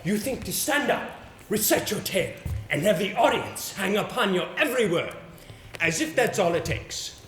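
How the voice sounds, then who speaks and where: An actor playing a pompous, exasperated theatre coach in an amateur stage play, with a sarcastic, emphatic delivery. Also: male voice, sits high, lively intonation, moderate pace, a bit bright. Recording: roomy stage recording with faint noise.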